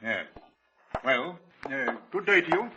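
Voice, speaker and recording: An actor playing a courteous, officious police inspector with a formal, probing manner in a 1940s radio drama. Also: male voice, on the high side, very animated, dull audio. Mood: doubtful, polite. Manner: polite, officious, measured. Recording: noisy vintage 1940s radio recording.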